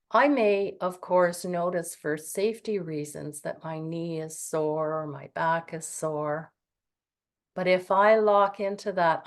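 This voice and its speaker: A woman guiding a meditation, speaking in a calm, gentle and soothing way with long pauses. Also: lowish voice. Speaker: meditation guide. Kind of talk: guided meditation.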